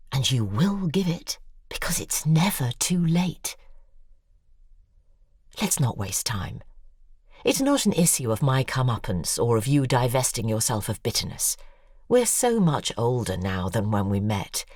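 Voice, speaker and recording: A commanding, embittered older female author in an audio drama. Her voice is very deep for a woman and moves expressively up and down. She speaks theatrically and insistently, recorded close and dry. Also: a little mellow, dry recording, full character voice. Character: bitter, imperious older woman, a famous novelist confessing.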